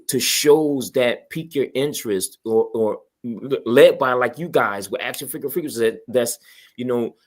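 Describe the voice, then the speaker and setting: A man chatting casually and enthusiastically, pitching with emphasis and slang and often checking that listeners follow. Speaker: guest promoting a toy radio station.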